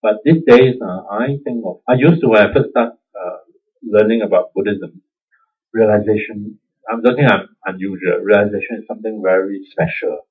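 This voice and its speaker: A man's voice: lowish voice, moderate pace, husky. A man with a Singaporean accent giving an informal Buddhist dharma talk, conversational and self-deprecating, chuckling often. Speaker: Buddhist teacher. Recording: dull lo-fi recording.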